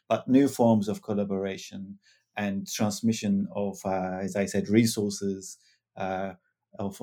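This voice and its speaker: A man from Turkey speaks as a guest historian, choosing his words carefully with the occasional filler. His voice is fairly low. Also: a little mellow. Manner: measured, scholarly, some fillers. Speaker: author and historian. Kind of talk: academic interview.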